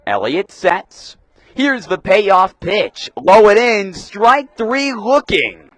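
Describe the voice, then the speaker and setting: A young man calling live baseball play-by-play for radio in a crisp announcer delivery, punching up the pitch calls. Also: sing-song, takes it slowly, fairly bright tone, dry recording. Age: young adult. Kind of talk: live sports commentary.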